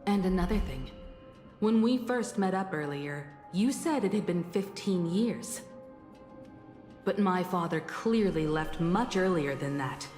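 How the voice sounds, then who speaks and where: An actress playing a composed, stern woman navigator in a video game, deliberate and confrontational. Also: lowish voice, lively intonation, a little mellow. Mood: cold, accusing. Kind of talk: dramatic video game acting. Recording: echoey hall reverb. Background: music.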